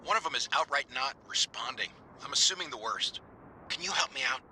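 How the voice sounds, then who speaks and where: An actor playing a brusque, eccentric amateur paranormal investigator in a video game, gruff and wry over a two-way radio. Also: male voice, medium pitch, lively intonation, fairly quick, bright, forceful tone. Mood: brisk, wry. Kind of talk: naturalistic game voice acting. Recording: noisy two-way radio transmission.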